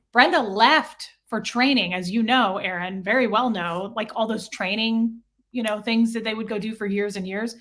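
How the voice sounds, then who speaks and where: A woman's voice: medium pitch, a bit bright. A woman speaking as an interview guest, telling personal stories casually and earnestly, often acting out both sides of conversations.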